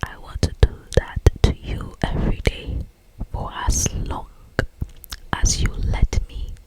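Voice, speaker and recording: A woman voicing a yearning, anguished old male vampire lover in a breathy, hesitant whisper for an ASMR story. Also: takes it slowly, lightly acted, low-quality recording. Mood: tender, satisfied.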